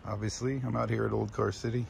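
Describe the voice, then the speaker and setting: A man's voice: fairly low pitch, little pitch movement, fast talker, vocal fry, a little mellow, a little hiss or hum. A man vlogging casually, explaining step by step how he set up his minivan camper and walking through a wooded junkyard, filmed on a handheld phone. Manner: plain, practical, explains step by step. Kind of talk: casual show-and-tell narration. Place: inside a minivan, then outdoors in a wooded junkyard.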